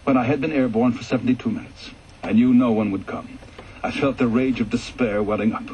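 A man reading a ghost story aloud for radio in a measured, calm way, going soft and low in the reflective passages. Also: highs cut off, slight background noise. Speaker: story reader.

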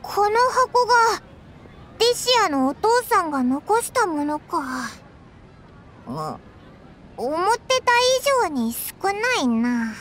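A woman voicing a small, excitable, blunt childlike fairy companion with a squeaky voice in a Japanese anime game. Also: slow pace, long pauses, a little hiss or hum, heavily acted. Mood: curious.

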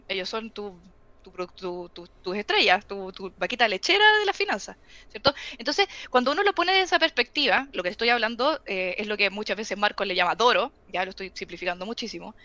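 A woman's voice: fairly high pitch, no echo, dull audio. A woman in her thirties with a Chilean accent, a guest expert explaining with rhetorical questions in a casual interview over a headset on a video call. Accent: Chilean Spanish. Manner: animated, rapid-fire explaining with rhetorical questions. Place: home room with bookshelves, indoors.

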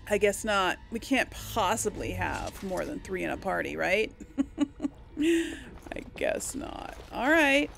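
A woman giving casual let's play commentary and reading game text aloud, with a creaky voice. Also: mid-range voice, sing-song, takes it slowly, a bit bright, soft background music. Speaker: gaming host. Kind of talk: reads game text and dialogue choices aloud.

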